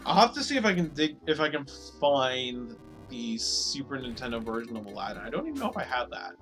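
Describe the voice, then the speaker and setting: A man chats casually while streaming, his voice a little hoarse and his talk rambling and full of fillers. His pitch sits in an ordinary middle range. Faint game music plays behind him. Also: very animated, brisk. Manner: rambling, filler-heavy, repeats words. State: slightly hoarse, losing his voice. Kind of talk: casual live-stream chat over gameplay.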